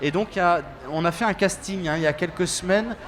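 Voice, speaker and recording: High-voiced French man, animated and halting. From France. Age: 50s. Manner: animated, hesitant with many fillers. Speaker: association organizer. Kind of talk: casual panel chat.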